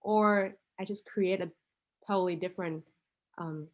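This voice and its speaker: A woman's voice: lowish voice, fairly even intonation, a little slow. Woman student, hesitant. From Vietnam. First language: Vietnamese. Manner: hesitant, thinking aloud, many "um" and "like" fillers. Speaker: international student with a startup idea. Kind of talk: casual conversation.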